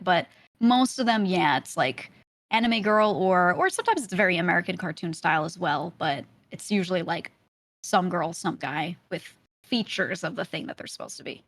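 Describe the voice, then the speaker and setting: A woman's voice: on the low side, expressive, brisk, fairly bright tone. A young woman with a light Brooklyn accent, chatting casually as a podcast guest. She laughs often and fills her talk with "like" and "you know.". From Brooklyn, New York.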